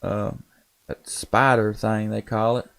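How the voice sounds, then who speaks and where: A man's voice: lowish voice, flat delivery, takes it slowly, creaky, fairly bright tone, smooth. A man with a Southern US accent making folksy, casual conversation as a ham radio operator. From Tennessee, United States. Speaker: amateur radio operator and live streamer.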